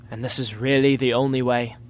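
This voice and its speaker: A woman's voice: very deep voice, no echo. A young woman playing a flippant, sarcastic royal heir and lazy student in a romantic comedy audio drama. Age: young adult. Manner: sarcastic, casual, exasperated.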